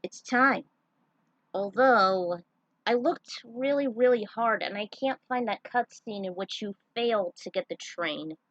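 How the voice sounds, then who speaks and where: A woman's voice: mid-range voice, a little slow, no room sound, distant mic, lo-fi sound. Woman narrating a story, close and dry. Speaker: narrator reading own fan fiction. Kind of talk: scripted story narration with casual asides.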